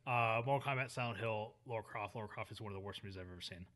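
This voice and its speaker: A man's voice: lowish voice, racing, a bit bright. A man co-hosting a casual movie podcast, blunt and jokey. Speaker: podcast co-host. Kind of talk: casual chat.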